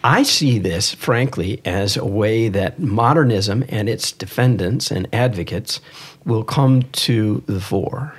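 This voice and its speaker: A man's voice: on the low side, slow, slightly rough. A man speaking as a priest and podcast host in earnest religious discussion, emphatic and given to repeating key phrases.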